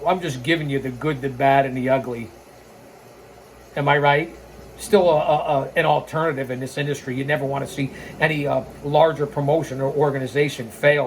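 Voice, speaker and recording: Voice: mid-range voice, a little hiss or hum, rough recording. An opinionated show host who reads a scripted wrestling biography and breaks into blunt, sarcastic, informal asides with swearing.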